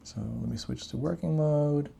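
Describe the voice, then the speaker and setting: A man's voice: a little high, normal speed, creaky, dark tone, slightly rough. A man chatting casually as he co-hosts a gadget review, explaining things informally. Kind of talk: casual product review chat.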